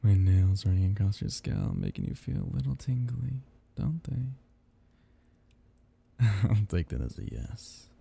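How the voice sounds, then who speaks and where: A man playing a tender, possessive vampire count in an intimate ASMR roleplay, speaking in soft, teasing murmurs with a husky, gravelly voice. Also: sits low, very animated, unhurried, long pauses, full character voice.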